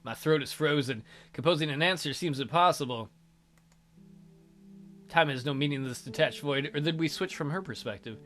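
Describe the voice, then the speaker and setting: A man's voice: on the high side, moderate pace, fairly bright tone. A man reading a visual novel aloud theatrically as a let's play host, with casual, jokey asides.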